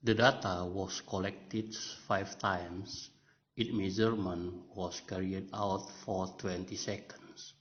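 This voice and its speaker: A low-voiced man with an Indonesian accent reads a research presentation very slowly, phrase by phrase. The delivery is halting and scripted, and the recording is dull and lo-fi. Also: fairly even intonation, fairly bright tone. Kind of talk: scripted academic presentation narration. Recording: dull lo-fi voice-over.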